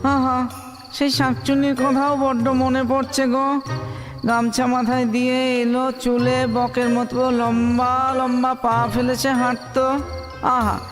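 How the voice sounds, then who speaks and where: A man's voice: very high-pitched, monotone. A man playing a sentimental, chatty village ghost in a comic audio drama, sighing wistfully over his words. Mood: lovesick, admiring. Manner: sighing exclamations, sentimental, drawn-out. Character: lovestruck male village ghost, newly dead, wistful and chatty. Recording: long hall reverb under music.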